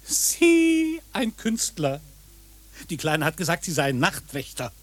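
A man's voice: a little high, wildly swooping pitch, takes it slowly, fairly bright tone, full character voice. An actor playing a pompous, bullying policeman who interrogates in mock-formal, indignant tones in a satirical radio play. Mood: interrogating, sneering.

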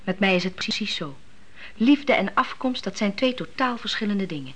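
An actress playing a thoughtful, probing young wife in an intimate radio drama conversation. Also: female voice, mid-range voice, animated, moderate pace, a bit bright.

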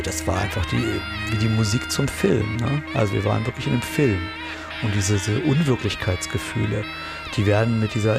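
Gravelly man reminiscing over loud music. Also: fairly low pitch. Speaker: interviewee, eyewitness. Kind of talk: casual personal recollection.

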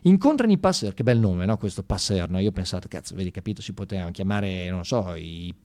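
A man's voice: low voice, animated, fast talker, warm tone. A man delivering an informal satirical monologue on radio, ironic and mock-enthusiastic, talking straight to his listeners in colloquial language. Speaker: radio monologue performer and storyteller. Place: radio studio.

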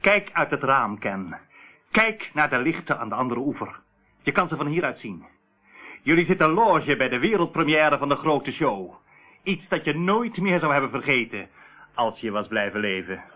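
A man's voice: mid-range voice, big pitch swings, moderate pace, pushed and bright, highs cut off. An actor playing a boastful, cold and ruthless male crime syndicate boss in a radio play, with a mocking, menacing manner. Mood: smug, gloating.

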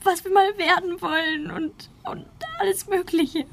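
An actress playing a distraught teenage daughter in a radio crime play, confessing through tears. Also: female voice, extremely high pitch, steady tone, a little slow, a bit bright, slight background noise, exaggerated voice. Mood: tearful, distraught. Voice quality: tearful, creaky. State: crying.

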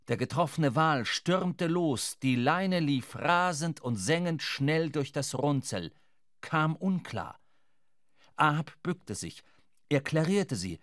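An actor playing a sombre sailor who narrates a shipwreck in a measured, dramatic literary radio play. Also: male voice, medium pitch, no room sound, clean studio sound.

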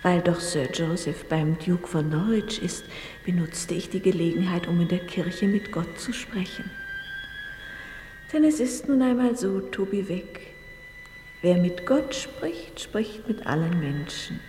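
A woman playing a gracious, devout upper-class lady in an old German radio play, measured and refined, sometimes hushed. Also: fairly low pitch, a little hiss or hum, natural voice. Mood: devout, wistful. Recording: echoey vintage radio play, long reverb. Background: music.

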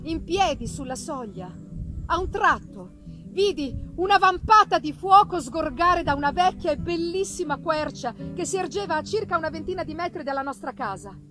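A woman giving a dramatic, theatrical reading of literary narration, with deliberate phrasing and pauses. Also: extremely high pitch, lively intonation, over music. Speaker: reader of a literary audiobook. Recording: live reading with noticeable background noise.

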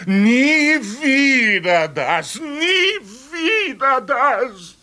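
A man playing an overwrought tragic actor, declaiming pompous verse with drawn-out pathos in a radio play. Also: extremely high pitch, very animated, sharp tone, full character voice. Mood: anguished pathos.

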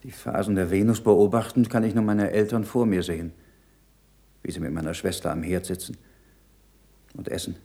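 An actor playing an earnest, troubled learned monk in a radio play, speaking in a measured, solemn, rhetorical way. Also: male voice, sits low, steady tone, mellow, slightly rough. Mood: quiet, reflective. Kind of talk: German radio play acting.

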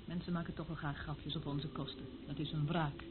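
An actress in a radio play playing a stern, secretive senior worker who talks curtly and disapprovingly, like a schoolmistress. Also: female voice, deep voice, little pitch movement, fairly quick, background noise, natural voice. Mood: pedantic, cold. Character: stern, fault-finding senior woman worker, secretive and bossy. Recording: tinny narrow-band old radio play recording. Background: loud music.

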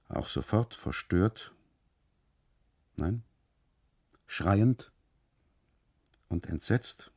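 An uneasy, gravelly, low-voiced husband. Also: male voice, dark tone, dry recording, studio quality, heavily acted. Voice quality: gravelly, husky. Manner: halting, fragmented phrasing, insistent repetition. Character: uneasy German husband on holiday, anxiously recounting, obsessive. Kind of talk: literary radio monologue, 1980s German radio drama.

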